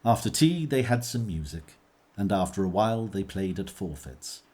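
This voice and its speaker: Deep-voiced male storyteller. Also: lively intonation, moderate pace, darkish tone. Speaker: narrator. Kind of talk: scripted storytelling narration.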